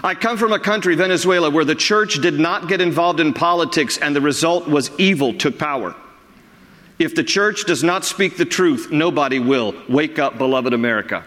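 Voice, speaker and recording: A middle-aged man preaching a sermon as pastor, emphatic, his voice climbing high on the words he stresses. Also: moderate pace, fairly bright tone, in a large hall.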